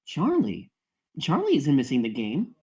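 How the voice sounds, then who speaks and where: A woman's voice: very deep voice, huge pitch swings, a little slow, a little mellow, husky, full character voice. A woman playing a loving but scolding middle-aged mother at a screenplay table read. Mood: curious.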